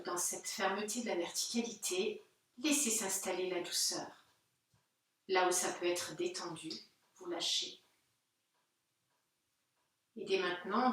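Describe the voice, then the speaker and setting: A woman teaching yoga, guiding a relaxation session gently and unhurriedly in short phrases with pauses. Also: medium pitch. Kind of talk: guided Yoga Nidra relaxation instruction. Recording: very echoey room, distant microphone.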